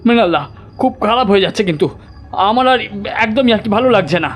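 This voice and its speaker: A man's voice: high voice, animated, fast, soft background music. A young man playing a nervous college student and aspiring writer who talks hesitantly and anxiously. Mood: uneasy. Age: young adult. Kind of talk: audio drama acting.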